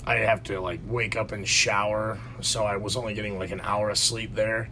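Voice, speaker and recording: A man's voice: fairly low pitch, little pitch movement, moderate pace, pushed and bright, slight background noise, poor audio. A man co-hosting a podcast from his basement, telling a rambling story with lots of restarts and fillers. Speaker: podcast co-host. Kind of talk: casual chat. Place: home basement.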